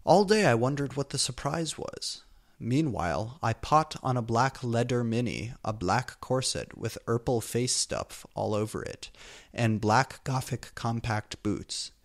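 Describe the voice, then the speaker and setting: A man's voice: mid-range voice, expressive, a little slow. Man giving a theatrical comedic reading. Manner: theatrical, exaggerated delivery. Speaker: fan fiction reader.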